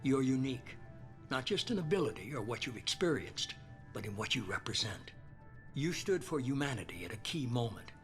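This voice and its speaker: Casting: male actor as calculating game mastermind, measured and persuasive, mid-range voice, music underneath. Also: mid-range voice, sing-song, lightly acted. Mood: assured, commanding. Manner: measured, persuasive, self-assured. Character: smooth, calculating male leader of a secretive organization. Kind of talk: video game cutscene acting. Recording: music behind the voice.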